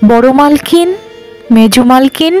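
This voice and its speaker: A woman's voice: high voice, unhurried, a little mellow, faint music behind. A woman voicing a meek, deferential household maid in a cartoon, apologetic and eager to obey. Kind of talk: cartoon voice acting.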